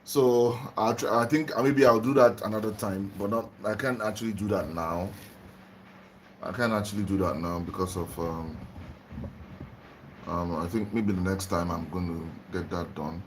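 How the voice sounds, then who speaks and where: A young man from Nigeria who has just woken up, livestreaming about spirituality in a slow, repetitive way and greeting viewers by name. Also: low voice, steady tone, a little hiss or hum. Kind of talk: casual livestream talk on spirituality.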